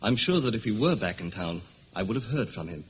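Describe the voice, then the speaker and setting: An actor playing a formal, deferential manservant in a radio drama, courteous and proper. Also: male voice, lowish voice, animated, a little fast, natural voice. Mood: polite, deferential. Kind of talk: old radio drama acting. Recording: dull lo-fi radio recording, faint hiss.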